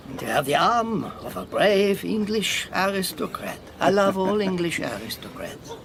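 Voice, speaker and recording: An actor in a radio drama playing a sardonic, battle-worn European refugee with a lame leg, speaking haltingly with mocking formality. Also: male voice, high voice, very animated, gravelly, background noise, full character voice. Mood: wry, teasing.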